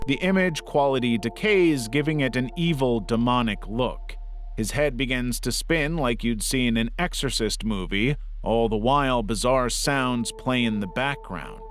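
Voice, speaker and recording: A man's voice: mid-range voice, normal speed, soft background music. A man narrating a scripted documentary-style video essay as its narrator.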